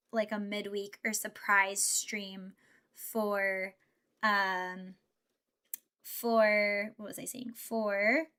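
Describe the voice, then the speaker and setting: A woman's voice: mid-range voice, a bit bright. A young woman streamer chatting casually while building Lego, thinking aloud and answering her chat. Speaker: VTuber streamer.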